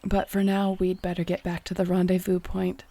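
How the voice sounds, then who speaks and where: A woman playing an earnest, tender young shapeshifter clan leader in an intimate audio roleplay, speaking softly and confidingly. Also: lowish voice, completely flat delivery, moderate pace. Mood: relaxed, fond. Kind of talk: intimate audio roleplay acting addressed to the listener.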